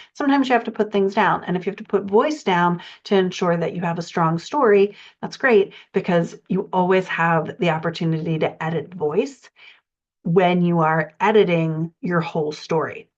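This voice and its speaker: A woman with a somewhat low voice teaches like a writing coach, laying out her points in a measured and methodical order. The sound is slightly muffled and lo-fi, as in a modest recording. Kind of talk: instructional explanation.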